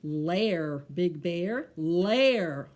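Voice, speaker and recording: A woman voicing a big, muddled, good-natured male bear in a children's storybook, with a simple, earnest, drawn-out delivery. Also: fairly low pitch, expressive, darkish tone, voice pushed down. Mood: confused, earnest.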